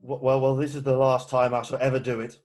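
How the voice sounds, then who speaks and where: A man playing an exasperated, hesitant Victorian gentleman in an amateur play read-through. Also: medium pitch, flat delivery, fast talker, natural voice. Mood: indignant. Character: exasperated, indignant Victorian gentleman, guardian of a young woman.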